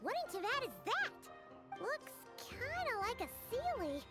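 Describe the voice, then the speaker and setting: A woman voicing a small, cheerful, boastful childlike fairy sidekick in a video game, with a squeaky voice and bubbly manner. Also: sing-song, measured pace, heavily acted. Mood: puzzled, curious. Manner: bubbly, refers to self in third person. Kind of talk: video game character dialogue. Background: game music.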